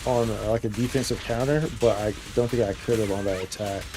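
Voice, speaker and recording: A man's voice: lowish voice, fairly even intonation, brisk, over music. A man streaming a game with casual, slangy live commentary, often repeating his own phrases.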